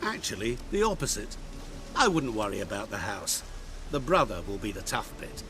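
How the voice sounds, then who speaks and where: A sly, enigmatic man toys with his listener in a video game scene. His pitch swings dramatically as he teases and insinuates with theatrical wryness. Loud rain and thunder run behind him. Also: big pitch swings, moderate pace, noisy background. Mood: sly, knowing. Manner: wry, playful, theatrical, insinuating. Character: sly, teasing, enigmatic male bargainer.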